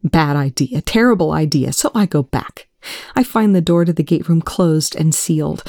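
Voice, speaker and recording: A woman reading aloud, voicing a distraught young man who shouts and then chokes out whispers through tears. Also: low-pitched, animated, moderate pace, no echo, studio quality. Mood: urgent recounting.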